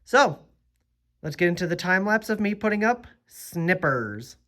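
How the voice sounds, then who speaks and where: A young man chats through a casual vlog at an unhurried, drawn-out pace. He is losing his voice, so it sounds slightly worn, and he pauses often with ums and uhs as he thinks aloud. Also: high voice, very animated, background noise. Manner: slow, hesitant, full of ums and uhs. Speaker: host.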